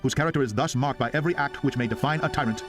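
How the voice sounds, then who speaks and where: A man's voice: medium pitch, racing. A man giving a solemn, formal, impassioned dramatic reading of a historical document, like an orator. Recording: music behind the voice. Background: music.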